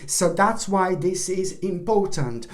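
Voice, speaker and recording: A woman with a voice giving a rambling tarot horoscope reading, often restarting and apologizing, while losing her voice and clearing her throat. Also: on the low side, expressive, takes it slowly. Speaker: tarot reader.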